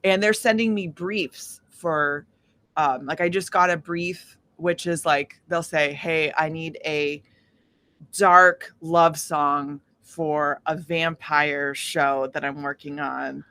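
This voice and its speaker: A woman speaking casually as an interview guest, an independent musician who tells stories in an animated way and acts out quoted dialogue. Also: low voice, slow. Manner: animated storytelling, often acting out quoted dialogue.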